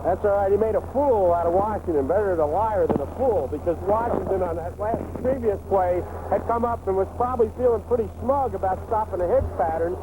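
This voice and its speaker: An American man offers football analysis between plays on an archival 1980s radio broadcast. His voice is high for a man. Loud noise fills the recording behind him. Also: a little fast, hardly any pauses. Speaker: radio color commentator.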